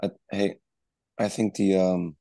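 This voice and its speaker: Hoarse, gravelly low-voiced man. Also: little pitch movement, slow pace, warm tone, no room sound, studio quality. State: hoarse, lost his voice. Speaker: student in a poker study group.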